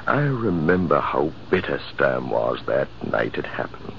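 A man narrating a 1940s radio drama in a measured, reflective way, on a dull, hissy old recording. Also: very deep voice, sing-song, gravelly. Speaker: narrator and officer character.

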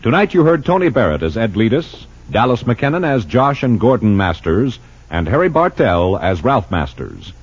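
Animated, husky male old-time radio announcer. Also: mid-range voice, moderate pace. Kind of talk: 1940s-50s scripted radio credits. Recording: muffled vintage radio broadcast. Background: music.

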